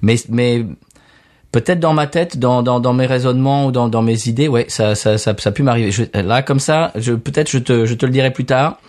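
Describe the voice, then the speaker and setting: A man from France speaks in an animated, enthusiastic manner, often stumbling and repeating words as he gets his thoughts out. His voice has an ordinary pitch and an everyday conversational tone. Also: moderate pace. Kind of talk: casual conversation.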